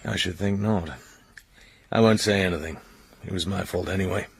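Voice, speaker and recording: An actor playing a wry, suspicious male secret agent in a radio drama, speaking drily in a husky, gravelly voice. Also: low-pitched, fairly even intonation, normal speed, slight background noise. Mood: weary, dry. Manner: dry, sardonic.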